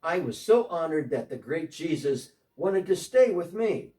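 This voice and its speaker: A man's voice: fairly high pitch, sing-song, a little slow, mellow, far from the mic. A man playing an eager, repentant tax collector. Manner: earnest storytelling, talking as if on a phone call. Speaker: dramatic reader. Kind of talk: first-person dramatic monologue.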